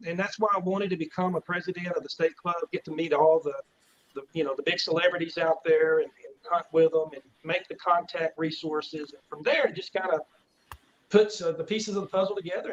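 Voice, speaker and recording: A man's voice: on the high side, dull audio, a little hiss or hum. A middle-aged man with a Texas accent, telling his story as a guest in a casual interview. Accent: Texan. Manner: rambling, folksy storyteller.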